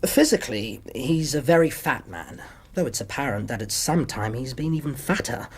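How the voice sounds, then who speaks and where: An actor playing a polite, modest young English doctor in a period radio drama, speaking with a courteous, hesitant and precise manner. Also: male voice, medium pitch, expressive, moderate pace, husky. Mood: measured narration. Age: young adult. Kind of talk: period radio drama acting, narrating his story.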